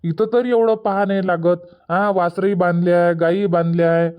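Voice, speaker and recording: A man's voice: high-pitched, fairly even intonation, moderate pace, smooth, lightly acted. A man voicing an impatient, stubborn village boy in a cartoon comedy, whiny and argumentative, with a Varhadi Marathi accent. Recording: dull, muffled lo-fi audio.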